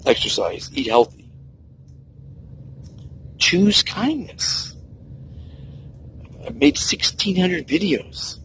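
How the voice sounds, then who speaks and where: Gravelly sixty-something man preaching kindness. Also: wildly swooping pitch, very slow, long pauses, fairly bright tone, a little hiss or hum, poor audio. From United States. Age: 60s. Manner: earnest, preachy, emphatic with big pitch swings. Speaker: kindness vlogger. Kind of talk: outdoor personal monologue. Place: outdoors by the sea.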